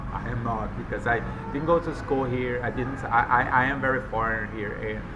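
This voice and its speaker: A man talks on and on in a loose, halting monologue, often restarting and repeating words. His voice sits at an ordinary pitch. Vehicle noise and street ambience are clearly audible behind him. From Argentina. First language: not Spanish. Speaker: vlogger. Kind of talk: rambling monologue.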